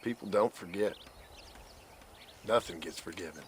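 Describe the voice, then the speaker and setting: An actor playing a gruff, guilt-ridden American outlaw trying to reform, halting and plain-spoken, in a video game. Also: male voice, deep voice, slight background noise, natural voice. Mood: weary, grim.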